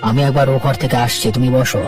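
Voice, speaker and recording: A man's voice: medium pitch, little pitch movement, fast, close to an everyday voice. Man as a mild older father-in-law, slightly distant. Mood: calm, hopeful. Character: mild, concerned older father-in-law who values togetherness. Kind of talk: Bengali children's cartoon voice acting. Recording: distant voice with background music.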